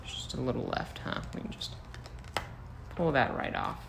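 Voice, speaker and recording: A man's voice: medium pitch, huge pitch swings, normal speed, vocal fry. American guy teacher doing a playful craft thing for little kids, noisy home video sound. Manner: playful, talks to young viewers as he works. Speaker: first-grade teacher. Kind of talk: casual craft demonstration for children.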